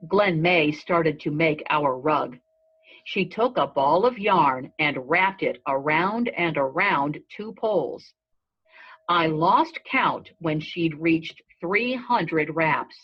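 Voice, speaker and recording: A woman narrates a children's storybook at a very unhurried pace. Her voice is rough-edged and husky, with deliberate phrasing. The recording sounds dull and lo-fi. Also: sits low. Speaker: storybook reader. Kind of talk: read-aloud narration of a children's story in a goat's first person.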